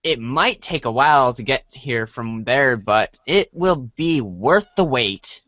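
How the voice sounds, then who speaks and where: A man's voice: mid-range voice, lively intonation, slow, bright, forceful tone, dry recording, lo-fi sound. A young adult man reading lines casually and bantering as a cast member of an amateur reader's theater.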